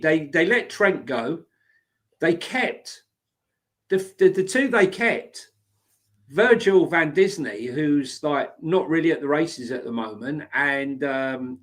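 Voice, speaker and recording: Guy cohosting a football pod, blunt, stutters on repeats, fairly high voice. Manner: blunt, opinionated, repeats words. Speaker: podcast co-host. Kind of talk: casual football chat.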